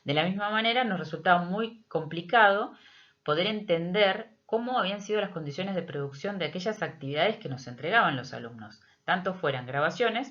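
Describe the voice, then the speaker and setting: A middle-aged Argentine woman, a teacher, explaining a project in a prepared but hesitant, measured presentation with frequent pauses. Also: low voice, a bit bright. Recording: dull, lo-fi audio.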